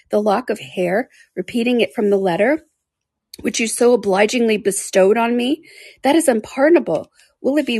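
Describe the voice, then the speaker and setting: A woman's voice: on the high side, vocal fry, darkish tone, slightly rough, no room sound, voice pushed up. A woman putting on an anguished heartbroken young woman. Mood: distraught. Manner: anguished, pleading.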